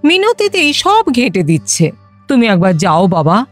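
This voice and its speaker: A woman playing a brisk, motherly middle-aged headmistress in an audio drama. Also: on the high side, wildly swooping pitch, faint music behind. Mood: harried, bossy. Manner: brisk, instructing.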